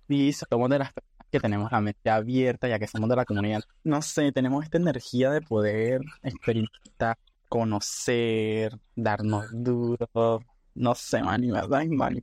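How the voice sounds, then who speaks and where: A man's voice: mid-range voice, measured pace, a little mellow, no room sound. A man co-hosts a podcast and keeps the talk playful and theatrical. His voice sits in a middle range. The recording is dry and close. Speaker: podcast co-host. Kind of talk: casual podcast chat.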